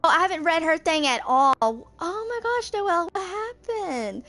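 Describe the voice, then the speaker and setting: A woman's voice: very high-pitched, lively intonation, normal speed, sharp tone. A woman in her twenties casually commenting on a game, half talking to herself. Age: 20s. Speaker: gamer video host. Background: game music.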